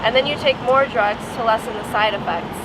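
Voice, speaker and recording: A woman's voice: a little high, a little fast. A woman reciting lines of a poem in public at a protest. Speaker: protest participant reading a poem. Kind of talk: public poetry reading at a protest. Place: outdoors at a street protest. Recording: very loud outdoor traffic noise.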